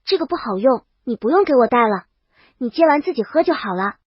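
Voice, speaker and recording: A woman's voice: high-pitched, expressive, fairly quick, slightly rough, no echo, dull audio. A woman voicing a brash, boastful young princess in an audiobook, bold and casual. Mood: soothing. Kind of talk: audiobook character dialogue.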